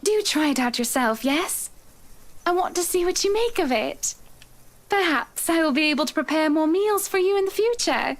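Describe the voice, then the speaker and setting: A woman's voice: very high voice, expressive, moderate pace. A woman playing a sweet, formal, eerily devoted wife in a visual novel game. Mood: doting, eager. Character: doting, eerily eager wife serving breakfast to her husband.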